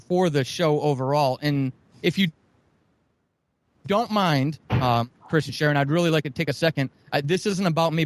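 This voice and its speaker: Male interview guest, slightly high register, dry sound. Earnest, emphatic, effusive promoter. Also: dry recording. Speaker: guest, audio drama producer. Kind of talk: enthusiastic interview talk, promoting shows.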